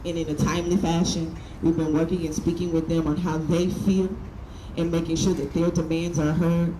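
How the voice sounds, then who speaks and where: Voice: medium pitch, flat delivery, moderate pace. A rally organizer giving a blunt, emphatic speech outdoors and calling up the next speaker, swearing for emphasis. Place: outdoors at a city hall rally. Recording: echoey, with crowd and street noise.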